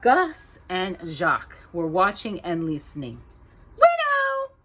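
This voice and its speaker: A woman's voice: medium pitch, animated, unhurried, fairly bright tone, slight background noise. A woman reading a children's story aloud with dramatic, emphatic narration. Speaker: storytime reader.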